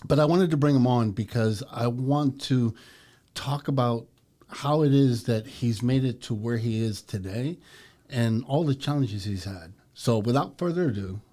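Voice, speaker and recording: A man's voice: medium pitch, warm tone. A middle-aged man hosting a podcast, chatting and leading his guest with questions. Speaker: podcast host. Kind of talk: casual interview hosting.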